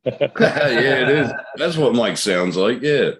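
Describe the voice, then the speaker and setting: A man with a deep voice in casual group chat, laughing often and swearing freely. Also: lively intonation, slow, fairly bright tone.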